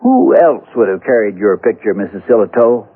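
A man's voice: fairly low pitch, very animated, moderate pace, husky. Dogged Western lawman, tinny radio sound. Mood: firm. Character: dogged, plain-spoken Western lawman narrating his case. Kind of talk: old-time radio drama narration and dialogue. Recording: tinny old radio broadcast, music behind.

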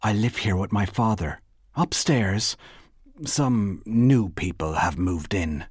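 A man voicing a polite, flustered young woman who chatters and tries to stay calm, in an audiobook story. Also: on the low side, slow, slightly rough, no echo, studio quality. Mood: flustered, controlled. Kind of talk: literary audiobook character voice.

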